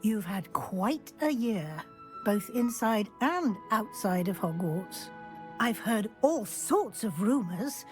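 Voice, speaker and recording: An actress playing a composed, dignified middle-aged woman professor in a video game drama, speaking in a formal, measured, articulate way. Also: mid-range voice, lively intonation, a little mellow, soft background music. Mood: composed, approving.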